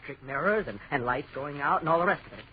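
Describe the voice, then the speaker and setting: A rattled young American man, played by an actor stammers and presses for answers, his highish voice swinging widely. The vintage radio horror recording is dull and noisy. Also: lively intonation, fast talker, close to an everyday voice. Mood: insistent, bewildered. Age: young adult. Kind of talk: 1940s radio horror acting.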